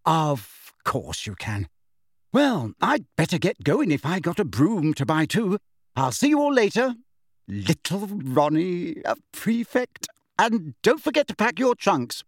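Doting middle-aged mum for an audiobook, played by a man: high, breathless, gushing, very lively. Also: measured pace, no echo, clean studio sound, exaggerated voice. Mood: flustered, delighted.

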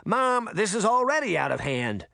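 A man's voice: sits high, very animated, moderate pace, gravelly, sharp tone, no echo, clean studio sound, pitched above the performer's own voice, full character voice. A man voicing a grumbling, exasperated middle-aged father in a children's fantasy audiobook, commanding and complaining.

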